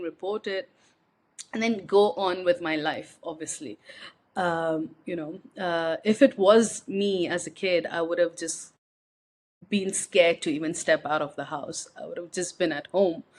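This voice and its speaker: A young woman from India, speaking as an interview guest in a reflective, hesitant way with frequent fillers and restarts. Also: on the low side. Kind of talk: personal interview conversation.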